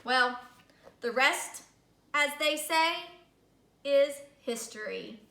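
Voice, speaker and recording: A woman's voice: sits high, animated, extremely slow, bright, forceful tone, exaggerated voice. A woman voicing a chatty, self-justifying big bad wolf in a children's read-aloud, folksy and dramatic with mock innocence. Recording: echoey room.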